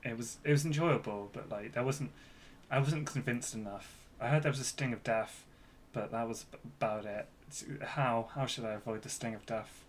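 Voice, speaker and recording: A man co-hosting a podcast, reacting casually in a halting, mildly wry way. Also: medium pitch. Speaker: podcast co-host. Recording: clear background noise.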